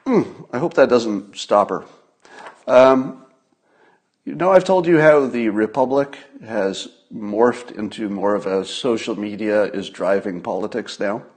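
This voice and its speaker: A man hosting a solo livestream, giving casual political commentary in a conversational, emphatic manner with pauses for effect. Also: lowish voice, a little mellow, slightly rough.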